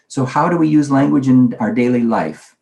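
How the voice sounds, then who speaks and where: A man's voice: mid-range voice, normal speed, gravelly, dark tone. Man lecturing in a roomy space. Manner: conversational, asks himself questions aloud. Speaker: conference speaker on language teaching. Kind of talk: recorded presentation lecture. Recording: roomy echo.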